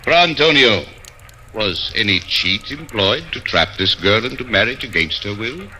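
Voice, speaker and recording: A stern Grand Inquisitor in an old radio play, with a lowish, forceful voice that moves through wide swings. He speaks with measured, solemn authority, over clear recording noise. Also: lively intonation. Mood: stern, probing. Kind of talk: 1940s radio acting. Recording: noisy vintage radio recording.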